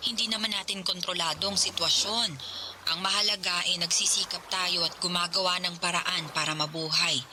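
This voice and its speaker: A man's voice: sits high, steady tone, fairly quick, close to an everyday voice. A man playing a hopeful figure encouraging patience through hardship in a radio drama. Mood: consoling, resolute. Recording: very loud background noise.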